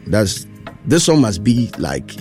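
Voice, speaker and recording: A man's voice: on the low side, big pitch swings, slow pace, darkish tone, soft background music. A man hosting a studio interview, asking playful, curious questions. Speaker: interview host.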